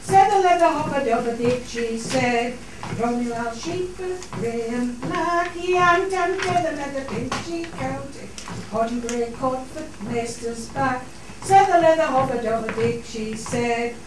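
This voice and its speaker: Female folk singer, dialect, unaccompanied, heavily echoing and distant with clear noise. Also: high-pitched, a little slow. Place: studio theatre.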